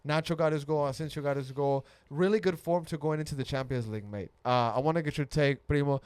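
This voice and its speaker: A man's voice: fairly high pitch, quick pace, a little mellow, smooth, dry recording. A man hosting a football podcast in casual, matey banter full of slang. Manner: matey slang, frequent use of "mate". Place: podcast studio.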